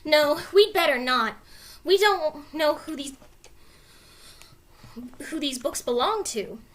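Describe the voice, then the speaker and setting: A woman's voice: high voice, animated, slow pace. A woman voicing a cautious, bookish young boy who speaks earnestly and protests in a children's storybook. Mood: reluctant. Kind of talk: children's storybook read-aloud.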